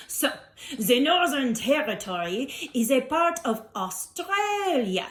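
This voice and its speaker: A woman's voice: high-pitched, big pitch swings, slow pace, a bit bright, heavily acted. A woman voicing a jolly, self-assured male French Tyrannosaurus who presents to children with theatrical flair and a French accent. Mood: cheerful explaining. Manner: theatrical, cheerfully boastful, explaining to an audience. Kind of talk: playful holiday presentation for children. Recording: roomy, echoing sound.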